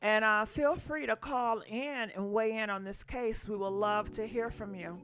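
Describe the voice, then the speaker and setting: A woman's voice: fairly high pitch, measured pace, fairly bright tone, clean tone, soft background music. A woman hosting a casual call-in show, chatty with many fillers as she prompts her callers. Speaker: host.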